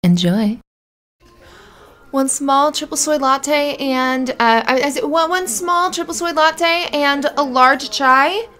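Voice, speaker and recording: A woman playing a flustered, friendly young café barista in an ASMR roleplay, chatty and halting in a natural conversational way. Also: high-pitched, sharp tone, heavily acted. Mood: busy, brisk. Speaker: voice actor in audio roleplay.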